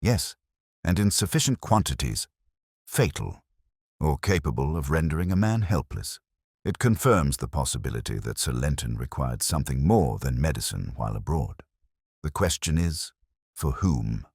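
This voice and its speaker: Keen detective, played by a male narrator, very deep, animated, formal and precise, storybook mystery. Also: normal speed, husky, no echo, clean studio sound, full character voice. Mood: intent, precise.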